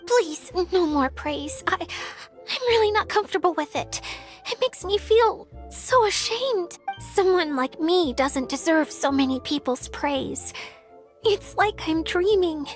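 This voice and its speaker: A woman playing a gentle, bashful, earnest guardian of a dream world in a video game. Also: very high voice, animated, takes it slowly, exaggerated voice. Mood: ashamed. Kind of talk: video game character dialogue. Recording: music behind the voice.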